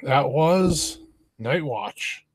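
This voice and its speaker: A man's voice: a little high, animated, drawn-out words, breathy edge, dry recording. A man hosting a show in a casual, chatty style. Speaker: podcast host. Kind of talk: casual chat.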